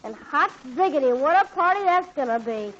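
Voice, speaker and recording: A woman's voice: high-pitched, expressive, a little fast. An actress voicing a young boy, a slangy, wisecracking kid brother. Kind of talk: 1940s radio acting. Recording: dull old radio broadcast.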